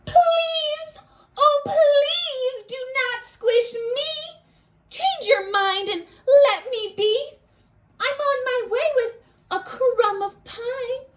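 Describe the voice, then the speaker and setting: Woman voicing a high, whimpering little ant. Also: full character voice. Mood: frightened, pleading. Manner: whimpering, drawn-out pleading. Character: tiny pleading ant, frightened but earnest. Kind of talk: picture book read-aloud for young children.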